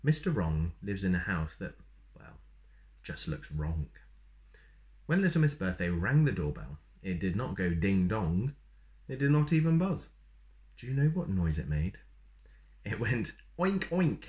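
A man in his thirties reading a children's story aloud in an expressive, playful way. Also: sits low, measured pace, pauses often. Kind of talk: animated read-aloud with asides to young listeners. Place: small plain room indoors.

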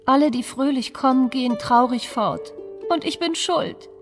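Woman as a high-voiced, forlorn young princess. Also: moderate pace, music in the background, close to an everyday voice. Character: sad young princess, gentle, forlorn, self-blaming.

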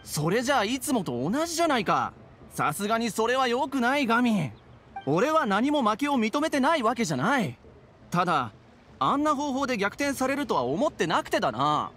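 ゲームで、男性声優が演じる誇り高く負けず嫌いな若者。くだけた、意地っ張りな口調で話す。. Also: male voice, extremely high pitch, very animated, a little fast, a bit bright, slight background noise, full character voice. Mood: sulky, stubborn. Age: young adult. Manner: casual, stubborn. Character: proud, sulky young man, competitive friend. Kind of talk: Japanese anime game voice acting.

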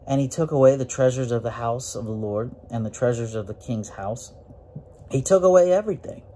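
A forty-year-old preacher talks through scripture in a relaxed, folksy way, filling pauses with "uh" and lifting his pitch on key points. His voice is mellow and warm rather than bright. A faint room ambience sits under the close phone recording. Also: a little hiss or hum. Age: 40s. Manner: folksy, many fillers, rises in pitch on emphasis. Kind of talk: informal Bible lesson to camera. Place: small room with green walls. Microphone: phone or webcam at close range, looking up.

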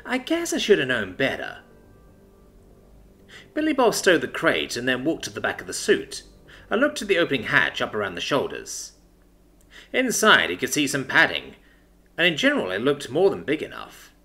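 A man reading a science fiction story aloud as its narrator, with a steady storytelling delivery. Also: medium pitch, a little fast.

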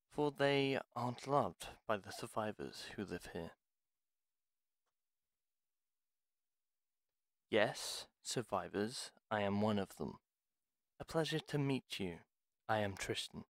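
A dry, close-sounding recording of a man acting a reluctant retired pharmacist from a fantasy audio drama. His voice sits on the low side and moves slowly. He is gruff and wary yet courteous, with formal, theatrical phrasing. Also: lively intonation, no room sound. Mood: solemn, reminiscing. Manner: formal, deliberate, weary, theatrical. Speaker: voice actor in roleplay audio. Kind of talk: dramatic audio roleplay monologue.

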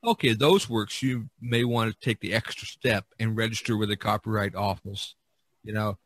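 A man's voice: on the low side, moderate pace, a bit bright, husky, dry recording. Man casually giving advice, dry close sound. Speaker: podcast host and artist.

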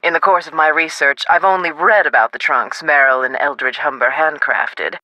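A woman playing a self-assured, scholarly researcher in a video game, precise and theatrical with deliberate emphasis. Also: sits low, steady tone, sharp tone, dry recording, poor audio. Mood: brisk, learned.

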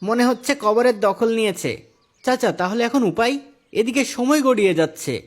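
A man voicing an excitable, anxious village gravedigger in cartoon dialogue, exclaiming urgently. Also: extremely high pitch, brisk. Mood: worried.

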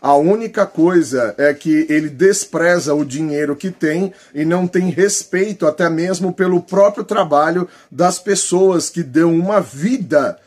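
A man in his forties with a Brazilian accent and a slightly husky voice, giving colloquial, opinionated vlog commentary with emphasis. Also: fairly high pitch, measured pace, hardly any pauses, a little mellow. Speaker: political YouTuber. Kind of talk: vlog commentary, reading posts aloud. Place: small plain room indoors.

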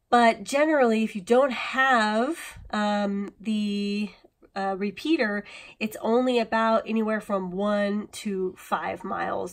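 Woman, thirties, American accent, average pitch, casual video host, explanatory, conversational delivery. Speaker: host of a prepping channel. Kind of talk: informal explainer to viewers.

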